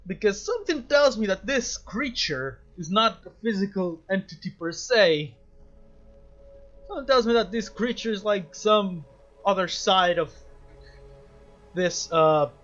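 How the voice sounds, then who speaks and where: Male gamer for a let's play, slightly high voice, casual and musing, on a somewhat muffled mic. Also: measured pace, a bit bright. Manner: musing, hesitant thinking aloud. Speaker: gamer playing and reacting. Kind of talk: casual game commentary.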